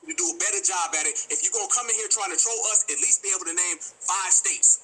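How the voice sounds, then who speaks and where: A man from the US deep south, a podcast co-host, heatedly grilling and mocking a caller in a live audio room. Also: pushed and bright, slightly rough, rough recording. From Georgia, US deep south. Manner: mocking, interrogating, rapid-fire.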